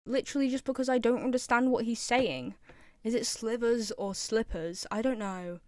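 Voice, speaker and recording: A woman's voice: on the high side, moderate pace, darkish tone, no room sound. Enthusiastic woman, dry close recording. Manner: enthusiastic, repeats phrases for emphasis. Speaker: video presenter. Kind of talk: casual fan ranking commentary.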